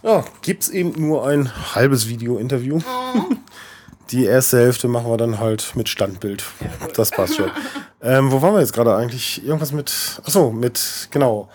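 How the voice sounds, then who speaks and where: Mellow-voiced man interviewing with many fillers. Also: mid-range voice, lively intonation, moderate pace, faint music behind. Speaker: music interviewer. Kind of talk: casual interview questions.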